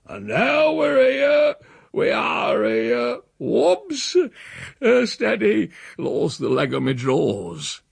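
Hoarse, bawling old country rascal. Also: male voice, fairly high pitch, animated, takes it slowly, dry recording, pitched above the performer's own voice, heavily acted. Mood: boisterous, unsteady. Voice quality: thick voice, strained and husky. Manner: rustic dialect, blaspheming, bawling. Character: devilish, bawling, drunken old country uncle Silas.

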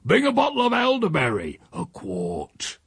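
A man doing a gruff old country uncle. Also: a little high, wildly swooping pitch, measured pace, dry recording, clean studio sound, voice pushed up, exaggerated voice. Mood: grumbling. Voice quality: rough rural dialect speech. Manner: loud, grumbling, demanding.

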